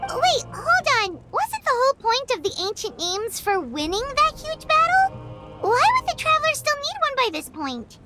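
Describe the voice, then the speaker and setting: Squeaky, excitable little fairy girl. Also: moderate pace. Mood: puzzled. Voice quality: squeaky, childlike. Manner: indignant, exclamatory, easily alarmed. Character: tiny, excitable childlike female fairy companion. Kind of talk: cartoonish video game acting. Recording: loud music behind the voice.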